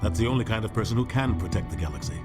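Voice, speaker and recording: A man's voice: lowish voice, animated, rapid-fire, over loud music, natural voice. An actor playing a firm military man in a video game, terse and resolute as he vouches for a soldier.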